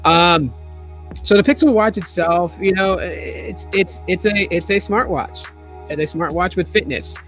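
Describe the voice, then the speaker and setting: A man's voice: high-pitched, unhurried, a bit bright, soft background music. A man hosting a casual tech video, talking in a rambling way with many fillers and restarts while he is losing his voice.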